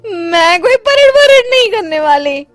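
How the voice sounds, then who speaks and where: A lazy young bride in a cartoon tale, played by a woman, with a high voice that swoops through every complaint. She is cheeky and whiny, with light music behind her. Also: big pitch swings, unhurried, dry recording, heavily acted. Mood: whiny protest. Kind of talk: animated moral story voice acting.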